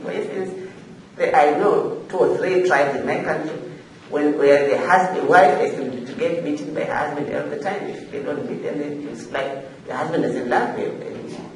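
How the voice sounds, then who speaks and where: Echoey, distant Tanzanian woman, halting and emphatic. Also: lowish voice, darkish tone. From Zanzibar, Tanzania. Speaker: forum panelist, African regional official. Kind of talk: semi-formal extemporaneous panel remarks. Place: echoey indoor forum room. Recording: echoey room, distant mic, faint noise.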